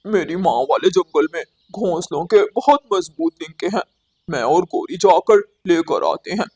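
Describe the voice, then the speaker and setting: A woman's voice: fairly low pitch, expressive, normal speed, a little mellow, husky, pitched below the performer's own voice. A woman voicing a sly, lazy male crow in a cartoon, with a smug, scornful manner. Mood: smug, scheming. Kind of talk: cartoon character acting.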